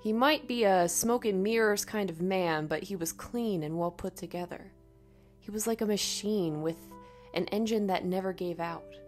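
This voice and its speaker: A woman narrating a scripted first-person horror story in a dramatic, expressive way. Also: medium pitch, normal speed, soft background music.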